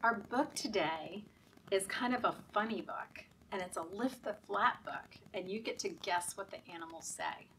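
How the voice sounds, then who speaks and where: A woman leading story time for young children in a sing-song, deliberate, encouraging way, often asking the children questions. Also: mid-range voice, fairly bright tone, far from the mic, a little hiss or hum, low-quality recording.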